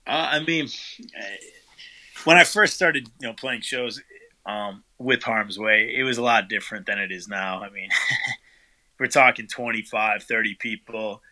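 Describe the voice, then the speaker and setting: A man's voice: on the low side, measured pace, rough recording. A man, the guest vocalist, answering interview questions casually, with lots of fillers and loose rambling. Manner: relaxed, rambling, many fillers.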